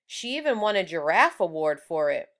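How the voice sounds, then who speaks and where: A woman's voice: lowish voice, very animated, normal speed, fairly bright tone. A woman reading the lines of a know-it-all third-grade girl in a children's storybook. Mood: informative.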